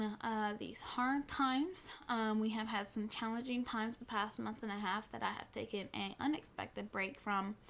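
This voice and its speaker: A woman's voice: medium pitch, fairly bright tone. A woman with a stuffy nose from a cold, chatting casually to camera from her couch at home and gushing, repeating herself. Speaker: cross-stitch vlogger.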